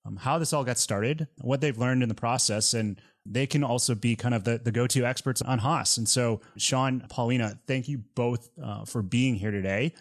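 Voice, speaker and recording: A man hosting a podcast interview, relaxed and joking, with plenty of fillers. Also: medium pitch, normal speed, few pauses. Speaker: podcast host.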